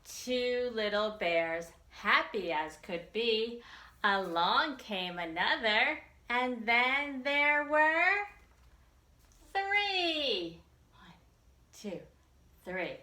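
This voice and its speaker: A woman's voice: fairly high pitch, expressive, extremely slow, pushed and bright. A woman librarian leading a children's storytime, reading and reciting rhymes expressively in simple phrases for small children. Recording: echoey room.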